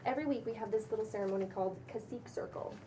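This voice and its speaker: A woman's voice: mid-range voice, racing, recorded from a distance, background noise, close to an everyday voice. A woman at a table read playing an enthusiastic, breezy housemate who talks in a colloquial, reassuring way. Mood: bubbly. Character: bubbly, enthusiastic housemate with infectious laugh. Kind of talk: table read acting of a pilot script.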